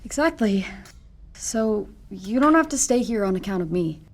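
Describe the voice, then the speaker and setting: A woman playing a meek but probing captive in her twenties in an audio drama, soft-spoken and careful, with a creaky voice. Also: mid-range voice, animated, moderate pace. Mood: meek, placating. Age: 20s. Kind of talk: audio fiction acting.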